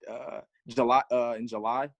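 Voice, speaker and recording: A man's voice: mid-range voice, flat delivery, moderate pace, a bit bright, no echo. Easygoing man in his twenties, dry sound. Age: 20s. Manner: easygoing, reflective, light laughs. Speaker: physical education teacher, guest. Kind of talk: conversational interview answer.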